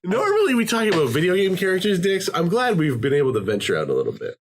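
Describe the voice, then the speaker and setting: A man hosting a casual podcast chat, telling jokey stories in an animated way. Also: sits high, quick pace, very noisy. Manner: animated, joking storyteller. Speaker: podcast host.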